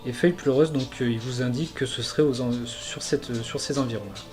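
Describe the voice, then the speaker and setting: Casual game master on a call. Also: male voice, mid-range voice, fairly quick, music in the background. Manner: casual, hesitant, many fillers. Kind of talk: narrating an online tabletop game.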